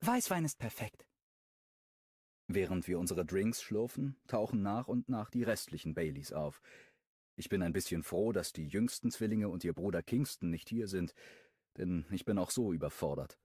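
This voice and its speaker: A man narrating a first-person romance audiobook in a wry, expressive way, on a close studio microphone. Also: low-pitched, moderate pace, husky, no room sound.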